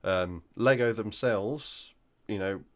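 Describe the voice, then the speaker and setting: A man with a croaky voice from flu, hosting a hobby news roundup in a casual, hesitant way with many ums. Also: lowish voice, slow. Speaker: hobby channel host.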